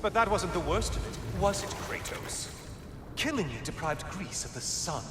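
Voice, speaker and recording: An actor playing a bitter, mocking sun god who taunts with sarcastic remarks in video game dialogue. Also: male voice, high-pitched, expressive, moderate pace. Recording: game audio with loud music.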